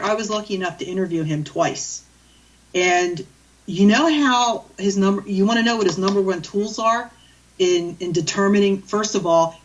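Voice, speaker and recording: A woman's voice: mid-range voice, far from the mic, muffled recording. A woman, a guest animal communicator, telling stories in a rambling, chatty way with many restarts. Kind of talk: storytelling in a radio interview.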